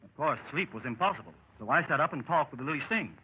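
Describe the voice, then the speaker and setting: A man's voice: a little high, expressive, quick pace, slightly rough. An actor playing a dashing lieutenant adventurer telling his escapade with old-fashioned exclamations, in 1930s radio style. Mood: reflective. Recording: muffled 1930s radio recording with music.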